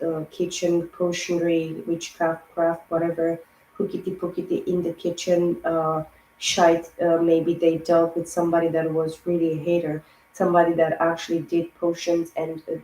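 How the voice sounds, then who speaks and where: A woman giving a rambling spiritual tarot reading, hoarse and losing her voice, piling up words in long lists. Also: deep voice, dark tone, roomy sound, slight background noise, rough recording. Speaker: tarot reader.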